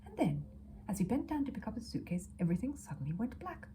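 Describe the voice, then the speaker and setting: Animated, mellow woman reading a children's story. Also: fairly high pitch, fast, a little hiss or hum. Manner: animated, dramatic, with hushed asides. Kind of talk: expressive children's audiobook narration.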